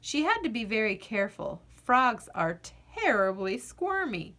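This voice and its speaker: A woman reading a children's picture book aloud as an expressive narrator, with deliberate phrasing and dramatic pauses. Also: a little high. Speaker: children's storybook reader.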